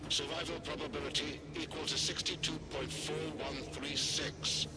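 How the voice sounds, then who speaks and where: A man's voice: a little high, level tone, normal speed, very noisy, close to an everyday voice. A man voicing an emotionless, calculating cyborg machine-priest in a video game, speaking in clipped, literal, detached phrases. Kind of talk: cinematic video game acting.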